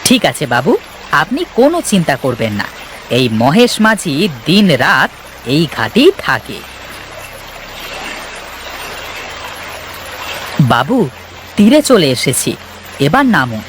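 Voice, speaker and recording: A man playing a humble, good-natured river boatman in an animated story, speaking in a folksy, reassuring way. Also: fairly high pitch, moderate pace, a little hiss or hum. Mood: obliging, cheerful. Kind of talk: animated story character acting.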